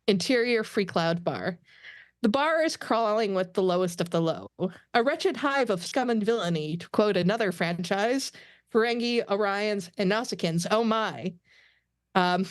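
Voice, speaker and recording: A woman's voice: medium pitch, animated, normal speed, no echo. A woman reading stage directions as narrator of a scripted table read.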